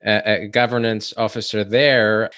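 A man's voice: fairly low pitch, flat delivery, fairly bright tone. A man hosting an interview podcast, often stopping and restarting his sentences. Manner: halting, with many restarts. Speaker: podcast host. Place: home studio in San Francisco.

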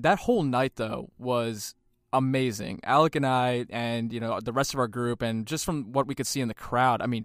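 Smooth-voiced, upbeat male host. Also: medium pitch, dry recording, clean studio sound. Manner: enthusiastic, upbeat, many fillers. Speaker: podcast host. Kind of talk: casual interview hosting.

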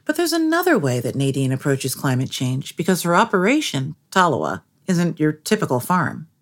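A woman's voice: deep voice, huge pitch swings, normal speed. A woman narrating a scripted documentary piece as its interviewer.

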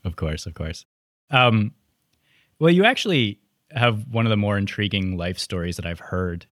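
A man's voice: on the low side, takes it slowly, pauses often, dry recording, studio quality. A man hosting a podcast, reading a scripted intro and then chatting playfully with his guest. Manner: animated, playful delivery. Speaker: podcast host.

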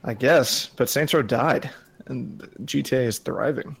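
A man's voice: mid-range voice, unhurried, gravelly, a bit bright, slightly rough. A man co-hosting a podcast, talking casually with a joking, teasing manner. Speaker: podcast co-host. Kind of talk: casual chat.

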